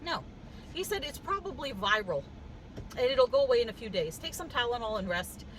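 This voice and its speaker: Vlogger, woman, higher voice, congested cough, wry and animated storytelling, recorded in a car. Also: a little fast, slight background noise, low-quality recording. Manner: animated, wry, laughs while telling the story. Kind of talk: casual storytelling vlog. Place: in a car with the air conditioning on.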